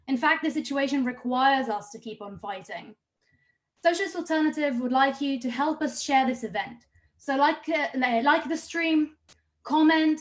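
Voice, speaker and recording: A woman with a high, light voice hosts an online meeting from home, speaking over a computer mic on a video call. She makes brisk announcements and introduces each speaker, stumbling now and then on a word. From Israel and Palestine. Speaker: host.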